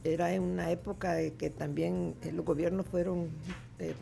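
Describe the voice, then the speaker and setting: Gravelly older Salvadoran woman. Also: on the low side, level tone, moderate pace, mellow, slight background noise. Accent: Salvadoran Spanish. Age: 70s. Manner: reflective, chatty, laughs easily. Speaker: guest artist. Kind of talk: casual interview chat. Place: studio.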